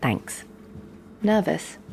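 An actress playing an anxious, blunt, sharp-witted writer in a naturalistic audio drama, with a dry, fretful manner. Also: female voice, drawn-out words, slight background noise. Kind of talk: naturalistic audio fiction acting.

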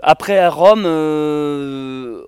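A man talks freely in an interview, piling up fillers and restarts as he goes. His voice sits a bit high and sounds smooth and resonant. The recording is dry and close. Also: extremely slow, a little mellow, no room sound. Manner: rambling, many fillers and restarts, laughs now and then. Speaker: interviewee, traveler and videographer. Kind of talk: casual interview chat.

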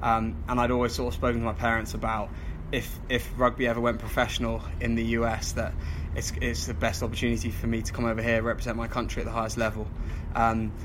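A young man with a London English accent, a rugby player answering interview questions outdoors, quick and even with many ums. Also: medium pitch. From London, England. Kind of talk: post-practice interview. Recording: outdoor crowd noise behind.

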